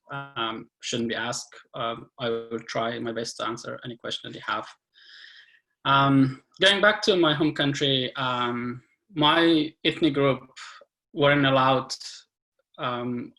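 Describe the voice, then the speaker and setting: A man in his twenties from Afghanistan shares his story earnestly, pausing often with fillers. His voice is bright and gravelly. He holds an even, level tone over a video call. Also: medium pitch, poor audio. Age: 20s. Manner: earnest, halting, many fillers. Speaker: guest speaker. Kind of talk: personal testimony on a webinar.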